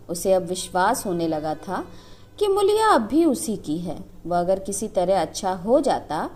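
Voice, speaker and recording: Female narrator, unhurried pace, highly varied intonation, dramatic scripted storytelling. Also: a little mellow.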